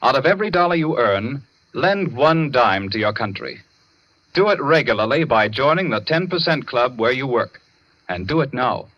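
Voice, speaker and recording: A man delivering an earnest, emphatic 1940s radio war appeal in a deliberate, persuasive style. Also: medium pitch, animated, no room sound. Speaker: wartime appeal announcer. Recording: dull vintage radio recording.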